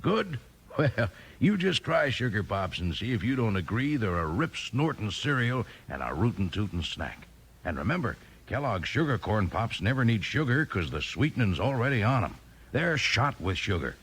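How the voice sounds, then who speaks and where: A man delivering a hearty, folksy 1950s radio commercial as the announcer, with a husky voice. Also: on the low side, big pitch swings, normal speed, a bit bright, a little hiss or hum.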